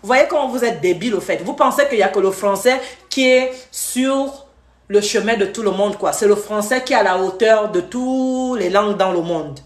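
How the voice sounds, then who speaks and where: A woman's voice: medium pitch, moderate pace. Live-stream host, woman from Gabon; heated scolding rant, echoey room sound. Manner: scolding, repetitive, switches to English briefly.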